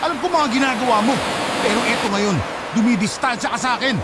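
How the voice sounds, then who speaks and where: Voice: medium pitch, very noisy, natural voice. A voice actor playing a suspicious, jealous husband firing accusing questions in a radio drama. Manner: accusing, rapid-fire questions.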